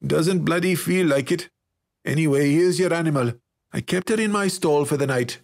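A nasal teenage ranch lad from a storybook, put on by a male narrator. He is grumbly and rough-spoken, in a voice raised well above the man's natural pitch. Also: moderate pace, a little mellow. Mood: grumbling, curious.

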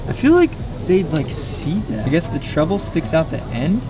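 A man's voice: on the high side, very animated, normal speed. A young man, chatting casually and rambling as he vlogs to a handheld camera. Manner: chatty, rambling, laughs at himself. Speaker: fishing channel host. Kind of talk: casual vlog talk to camera. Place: store aisle, then outdoor lakeside park. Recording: background music.

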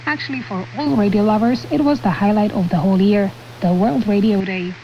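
A woman's voice: medium pitch, brisk. A woman presenting a radio programme from a script, linking between items. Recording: muffled shortwave radio with static. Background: shortwave radio static.

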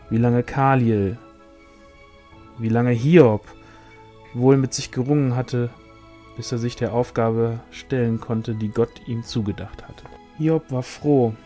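A mellow male voice of middling pitch reads a fantasy novel aloud. His delivery is measured, like a storyteller's, and faint music plays behind him. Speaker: audiobook reader. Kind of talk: scripted narration of a fantasy novel.

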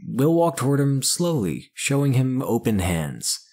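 A man voicing a gruff, impatient federal agent boss, brusque and exasperated. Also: medium pitch, very animated, measured pace, a little mellow, voice pushed up, heavily acted. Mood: commanding.

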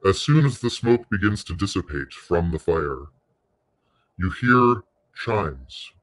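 Guy with a deep low voice doing guided meditation, really slow, soothing, lots of pauses. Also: expressive, fairly bright tone. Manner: soothing, unhurried, pausing between short phrases.

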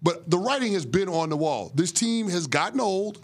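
High-voiced blunt male sports analyst. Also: expressive, moderate pace. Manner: emphatic, blunt, jokey. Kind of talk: studio sports debate. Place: TV studio. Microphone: desk boom mic.

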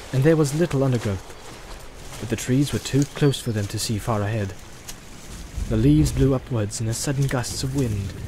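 A man reading a fantasy audiobook aloud, narrating in an even, storytelling delivery. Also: mid-range voice, background noise. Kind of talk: scripted narration.

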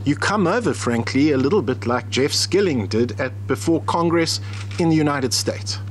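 A man's voice: on the high side, lively intonation, moderate pace, a little hiss or hum. A middle-aged man putting pointed questions to a witness in a committee room. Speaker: member of parliament questioning.

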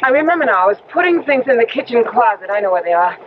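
An old radio drama recording, muffled and noisy. a young American wife, sensible and strong-willed, earnest and firm, with no patience for superstition. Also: female voice, fairly high pitch, big pitch swings, quick pace. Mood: uneasy. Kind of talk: 1970s radio drama acting.

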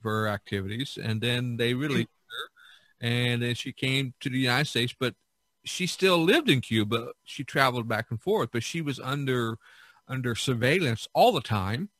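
Rambling man with a bright, forceful voice. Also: mid-range voice, moderate pace, no echo. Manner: rambling, repeats and circles back. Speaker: podcast host. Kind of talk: casual chat, reminiscing.

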